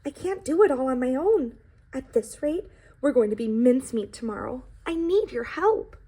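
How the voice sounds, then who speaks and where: A woman's voice: high voice, expressive, normal speed, warm tone, slightly rough. A woman voicing a humble, anxious and earnest young pie who tells its own story in a children's storybook, with dramatic emphasis. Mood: earnest, pleading. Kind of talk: expressive storybook reading.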